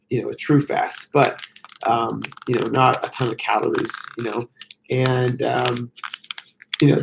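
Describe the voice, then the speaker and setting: Hoarse gravelly man on a live stream. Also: mid-range voice, darkish tone, slight background noise, poor audio. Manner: rambling, frequent fillers. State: hoarse, losing his voice. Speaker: fitness coach. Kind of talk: casual live-stream talk.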